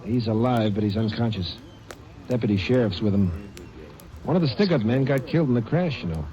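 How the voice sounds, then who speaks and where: A man's voice: mid-range voice, lively intonation, a little fast, mellow, lightly acted. An actor playing a helpful male lawman briefing a Ranger in plain terms, in a 1940s radio drama. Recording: lo-fi vintage radio recording with crowd.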